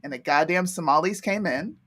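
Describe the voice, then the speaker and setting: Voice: medium pitch, a little fast, a bit bright. A co-host from Pennsylvania talking bluntly and profanely in a casual debate on a talk show.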